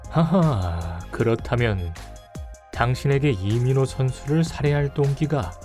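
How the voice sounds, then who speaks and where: A man playing a stern, suspicious police detective in an audio drama, speaking deliberately and pointedly. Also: mid-range voice, expressive, a little mellow, soft background music, lightly acted. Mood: accusing, sardonic.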